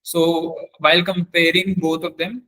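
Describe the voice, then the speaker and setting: A man giving an informal technical lecture as a webinar trainer, speaking haltingly and often repeating his phrases. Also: a little high, flat delivery, bright, forceful tone, rough recording. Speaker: webinar trainer on forensic audio.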